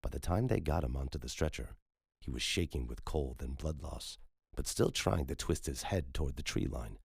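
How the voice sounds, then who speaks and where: A man with an extremely deep voice narrates horror fiction. His tone is husky and rough, and the sound is very dry and close, like a scripted audiobook. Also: lively intonation, moderate pace, dry recording.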